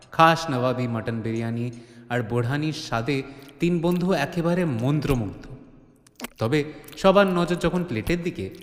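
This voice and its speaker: A man delivering scripted, dramatic narration as the storyteller of an audio drama. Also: mid-range voice. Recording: echoey reverb on the voice.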